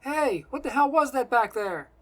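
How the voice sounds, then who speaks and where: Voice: mid-range voice, lively intonation, normal speed, fairly bright tone, husky. Narrator as a cocky, hot-headed young man. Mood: angry, ranting.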